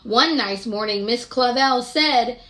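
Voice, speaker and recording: A woman reading a picture book aloud to children in an expressive, deliberate way, pausing to ask her young listeners questions. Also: a little high.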